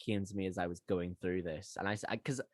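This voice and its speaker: A man's voice: sits low, fairly even intonation, fast talker, a little mellow, no room sound, clean studio sound. A man who writes and directs audio drama, chatting informally and animatedly on a podcast. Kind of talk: casual behind-the-scenes podcast chat.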